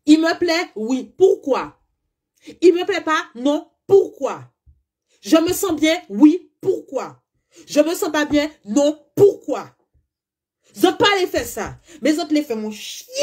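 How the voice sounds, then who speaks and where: A woman's voice: sits high, darkish tone. Caribbean-born lady coach ranting at her followers, swoops all over the place, blunt. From French Caribbean (Guadeloupe, Martinique). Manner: emphatic, blunt, fires rhetorical questions. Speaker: spiritual coach. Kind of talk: monologue to followers.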